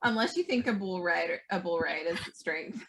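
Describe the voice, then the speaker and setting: A woman's voice: lowish voice, normal speed, a crowd in the background, poor audio. A woman talking casually as a player in a tabletop game. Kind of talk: casual chat.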